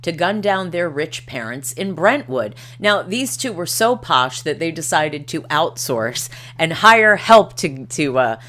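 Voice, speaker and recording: A middle-aged woman with a low-pitched voice hosts a livestream. She moves between reading aloud and casual commentary, wandering off into wry, chatty asides. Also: very animated, unhurried, hardly any pauses, a bit bright. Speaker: livestream host.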